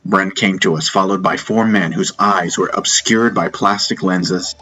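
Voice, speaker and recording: A man narrating a first-person fantasy audiobook in deliberate, dramatic short phrases. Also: low-pitched, steady tone, bright, forceful tone. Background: music.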